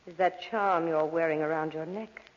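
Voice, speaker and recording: An actress playing an ancient, possessive undead spirit woman in a 1940s radio drama, speaking slowly and commandingly with ominous emphasis. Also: low-pitched, fairly even intonation, close to an everyday voice. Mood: coldly reasoning. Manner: slow, ominous, commanding delivery with drawn-out emphasis. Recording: muffled old radio recording, long hall reverb.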